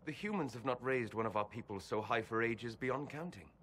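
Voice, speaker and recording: A man playing a learned, wary elf adviser in a video game, speaking calmly and gravely with deliberate, formal phrasing. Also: medium pitch, noisy background, close to an everyday voice. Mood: grave, measured. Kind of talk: dramatic video game dialogue.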